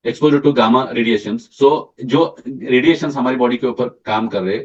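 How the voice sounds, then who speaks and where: A man with a slightly hoarse throat leads a product training session. He explains point by point, stumbles and restarts words, and often asks "right?" to check on his listeners. The recording sounds distant and dull, like a mic set far from him. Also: mid-range voice. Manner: mixes English terms into Hindi, halting restarts. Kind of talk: sales training presentation.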